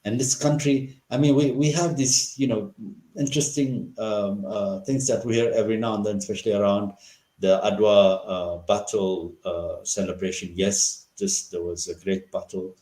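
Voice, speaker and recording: A man's voice: on the low side, steady tone, moderate pace, warm tone. A middle-aged man from Ethiopia, an interview guest giving impassioned political commentary, asking rhetorical questions and restarting his sentences often. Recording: roomy echo.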